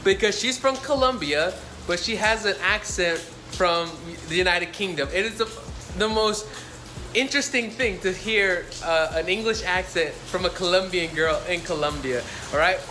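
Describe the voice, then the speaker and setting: A man vlogging a walking tour of a hostel, chatty and energetic, often calling out to viewers as guys. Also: sits high, normal speed, soft background music. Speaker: travel vlogger. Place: hostel, moving between rooms.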